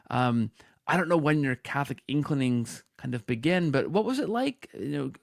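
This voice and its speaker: A man's voice: medium pitch, creaky, dry recording, studio quality. A man hosting a podcast, interviewing casually with informal, hesitant phrasing that circles back on itself. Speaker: podcast host.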